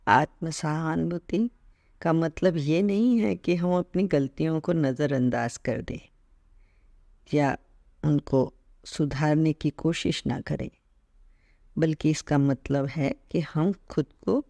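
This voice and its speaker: A man leading a guided meditation as an instructor, speaking calmly in short measured phrases with gentle pauses. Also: on the high side, vocal fry, no room sound, clean studio sound. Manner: mixes English words into Hindi, repeating terms in both.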